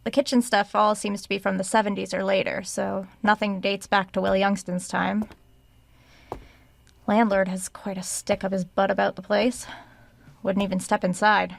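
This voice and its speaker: A woman's voice: medium pitch, flat delivery, moderate pace, vocal fry, dry recording, lightly acted. An actress playing a curious, determined woman writer, polite and dryly wry, in an audio drama. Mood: wry, reflective. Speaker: writer investigating a haunted house. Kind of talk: audio drama acting, diary narration.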